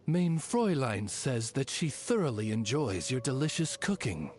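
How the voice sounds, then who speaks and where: Casting: male actor for dignified game raven servant, mid-pitched, dryly deferential, lively melodic phrasing, slight background noise. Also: normal speed, natural voice. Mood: polite, dry. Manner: formal, polite, deadpan. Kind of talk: anime game character voice lines.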